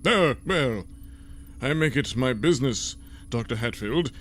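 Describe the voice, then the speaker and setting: A man's voice: medium pitch, expressive, a little slow, a bit bright, soft background music. A man playing a pompous, genteel, self-assured resistance member, speaking formally in a comic sci-fi audio serial. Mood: smug.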